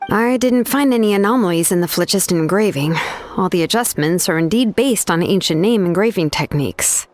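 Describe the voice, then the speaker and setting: A woman playing a young, laid-back expert craftswoman in a video game, explaining things in a measured, blunt, matter-of-fact way with small hesitant pauses. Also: medium pitch, expressive, background noise, lightly acted. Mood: frank, hesitant. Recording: studio voice over game music.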